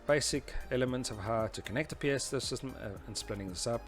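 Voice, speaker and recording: A man's voice: medium pitch, fast talker, soft background music. A man presenting an informal technical lesson, explaining step by step in a somewhat hesitant, conversational way. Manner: hesitant, explanatory, often saying "you know". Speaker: instructor presenting a tutorial series. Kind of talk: informal explanatory lecture talking through slides and diagrams.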